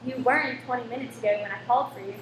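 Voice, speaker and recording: An actress as a high-voiced, exasperated cinema proprietress. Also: female voice, rapid-fire, lightly acted. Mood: impatient. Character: brisk, exasperated woman running a cinema. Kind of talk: radio play acting. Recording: echoey room, faint background noise.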